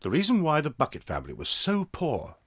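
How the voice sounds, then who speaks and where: Expressive male storybook narrator. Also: on the high side, a little fast, over loud music.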